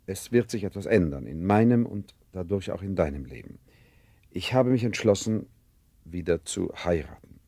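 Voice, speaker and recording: An actor in a German radio play, playing a stern, preoccupied father with a deep, gravelly voice. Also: expressive, moderate pace, warm tone, dry recording, heavily acted. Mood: resolute, placating. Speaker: actor in an audio drama.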